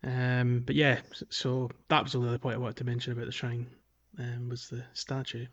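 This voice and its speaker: A man's voice: mid-range voice, monotone, a little fast, a bit bright. A man co-hosting a podcast, discussing a game casually in a hesitant, explanatory way with meandering phrasing. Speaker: podcast co-host. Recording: dull, band-limited voice recording.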